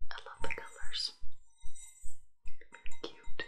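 A woman in her forties speaks in a breathy, unvoiced ASMR whisper, very close to a tabletop mic. Her delivery is slow and gentle, broken into short, halting phrases. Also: soft background music. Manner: soft, unhurried, halting phrases. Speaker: ASMR video creator. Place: small room indoors with a wood-panel backdrop. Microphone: close tabletop microphone.

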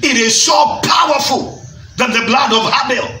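A middle-aged Kenyan man preaching a shouted Easter sermon, stretching his key words as he builds. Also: very high voice, normal speed, recorded from a distance. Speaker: pastor. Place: church stage, indoors. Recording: echoey room from afar, music behind.

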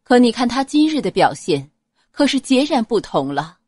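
Female reader as noble mother, gentle, dignified, animated pitch, dry close sound, period audiobook. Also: moderate pace, breathy edge, no room sound. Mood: gently chiding.

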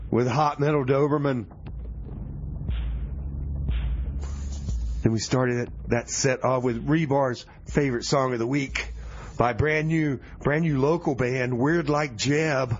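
A man's voice: medium pitch, highs cut off, a little hiss or hum, lightly acted. A man playing a flustered slacker music-show DJ who rambles and repeats himself in radio comedy banter. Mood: upbeat. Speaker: radio DJ host.

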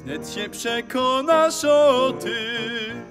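An actress voicing a proud, boastful talking cat in a musical fairy-tale audio play, theatrical and rhyming. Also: female voice, fairly high pitch, drawn-out words. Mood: proud boasting. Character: proud talking cat of a rare booted breed. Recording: music behind the voice.

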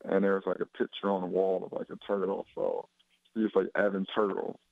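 Young male athlete guest on a dull phone line, deep and monotone, easygoing and rambling. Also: fairly quick, vocal fry, no echo. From Chicago, USA. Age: 20s. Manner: laid-back, rambling with many fillers. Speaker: basketball player guest. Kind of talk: casual phone interview.

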